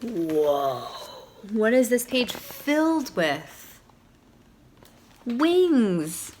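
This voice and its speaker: A woman's voice: a little high, very animated, very slow, darkish tone, a little hiss or hum. A woman reading a children's picture book aloud expressively, stopping to put questions to young listeners.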